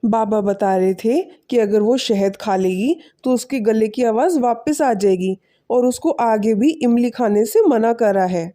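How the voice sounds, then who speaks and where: A woman voicing a humble, selfless poor village man in an animated moral story, speaking politely and earnestly. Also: fairly high pitch, measured pace, few pauses, lightly acted. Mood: earnest, explaining.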